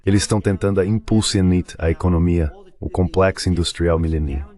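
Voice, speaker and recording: Male commentator, Brazilian, very low register, blunt and repetitive in dubbed political talk. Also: fairly quick, warm tone. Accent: Brazilian Portuguese. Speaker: guest commentator.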